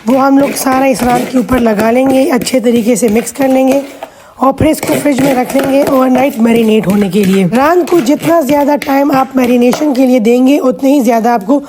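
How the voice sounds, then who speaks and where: A woman hosting a cooking vlog with a hoarse voice, explaining each step patiently with chatty asides. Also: sits high, normal speed, noisy background. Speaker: cooking channel host.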